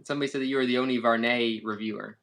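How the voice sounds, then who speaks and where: A man's voice: mid-range voice, steady tone, racing, vocal fry, fairly bright tone. A man reviewing sunglasses in a casual chat, talking in a hesitant way with lots of fillers and restarts.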